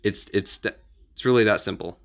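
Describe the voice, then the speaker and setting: Guy with a lowish creaky voice doing a casual explainer, kinda halting and repeats himself. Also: a bit bright. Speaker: amateur analog horror video creator giving advice.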